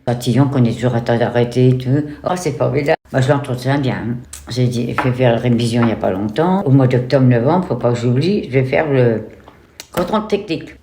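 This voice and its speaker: A woman's voice: very deep voice, brisk, mellow, slight background noise. An older woman, a retirement home resident, rambling animatedly about her life and often adding "hein". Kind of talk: recalling memories in an interview. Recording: roomy with music underneath.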